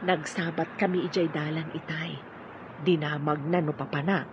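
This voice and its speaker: A woman's voice: deep voice, steady tone, moderate pace, a little hiss or hum, natural voice. A middle-aged woman with an Ilocano Filipino accent acting a proud, humble mother in an amateur audio drama. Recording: dull lo-fi audio with music.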